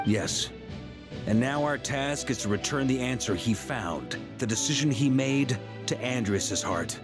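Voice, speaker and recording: An actor playing a confident knight leader who explains things in a measured, authoritative way. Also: male voice, mid-range voice, natural voice. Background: game music.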